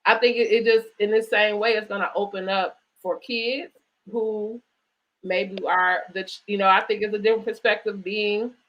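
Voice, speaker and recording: A woman's voice: medium pitch, fairly even intonation, moderate pace, muffled recording. A woman hosting a conversational live online interview, speaking in an encouraging, affirming way. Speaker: host interviewing an author.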